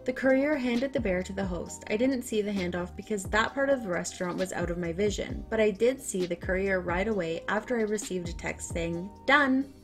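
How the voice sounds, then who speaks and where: Female narrator reading revenge stories, lively and excitable, soft music underneath. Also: medium pitch, fairly quick, hardly any pauses. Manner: animated, swings from calm reading to loud excited outbursts. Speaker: story narrator.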